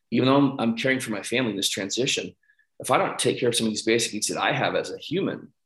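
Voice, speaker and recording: A man with a fairly low voice hosts a conversational podcast interview. He builds his questions haltingly, repeating words as he goes. The sound is roomy, with clear echo. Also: fairly bright tone. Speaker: podcast host. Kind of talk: conversational coaching-style interview.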